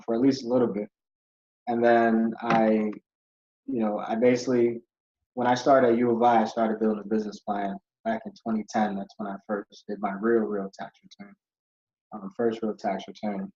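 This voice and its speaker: A man's voice: fairly low pitch, a little mellow. A young man from Ghana, a podcast guest who tells his story in a rambling, casual way with many fillers. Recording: dull lo-fi audio.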